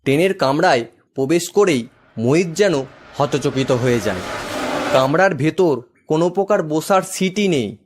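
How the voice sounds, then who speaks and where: A man's voice: fairly high pitch, lively intonation, normal speed, slightly rough. A man giving scripted narration of a horror story as its storyteller.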